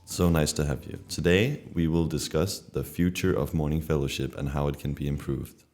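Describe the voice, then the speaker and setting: A man's voice: very deep voice, steady tone, moderate pace, a little mellow, faint music behind. A young man from Denmark hosting a student podcast and asking plain guiding questions. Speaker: podcast host.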